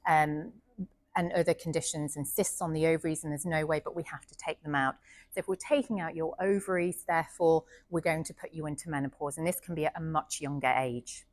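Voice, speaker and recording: A woman's voice: sits low, no room sound. A British woman doctor in her thirties or forties giving a friendly, chatty talk to an audience, with frequent ums. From United Kingdom. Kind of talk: informal presentation to an audience. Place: indoor venue with an audience.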